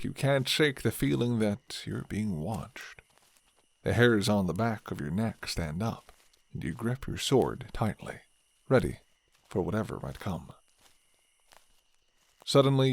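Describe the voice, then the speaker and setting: A man narrating a scripted fantasy story in the second person, with measured, dramatic storytelling. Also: on the low side, a little mellow.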